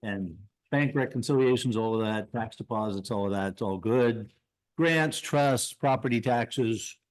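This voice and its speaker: A man of about sixty with a nasal voice, the town treasurer, giving a quick, modest report that runs through a list of items. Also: mid-range voice, low-quality recording. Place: town meeting room.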